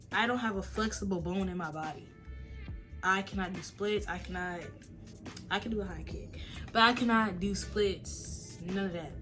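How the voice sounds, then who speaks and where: A young adult woman, chatting casually and telling stories in a late-night Q&A at home. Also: mid-range voice. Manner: chatty, animated storytelling with lots of "like" and "y'all". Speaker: vlogger. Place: at home, face mask on.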